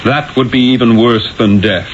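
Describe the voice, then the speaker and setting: A man's voice: mid-range voice, normal speed, close to an everyday voice. A man acting a proud, impassioned Renaissance painter with a lofty, theatrical delivery in a 1970s radio drama. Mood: firm. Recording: dull 1970s radio broadcast, faint noise.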